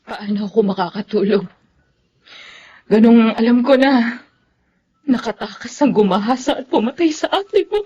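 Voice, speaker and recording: A woman's voice: on the high side, moderate pace, long pauses, mellow, breathy edge, muffled recording. An actress playing a tearful, outraged mother grieving a murdered daughter in a radio drama, wailing and pleading. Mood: tearful outrage. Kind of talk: Tagalog radio drama acting.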